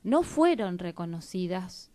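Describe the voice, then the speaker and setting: A woman's voice: lowish voice, very animated, a little slow, mellow, no echo. A woman with an Argentine accent, hosting a conversational discussion of history. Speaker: talk show host.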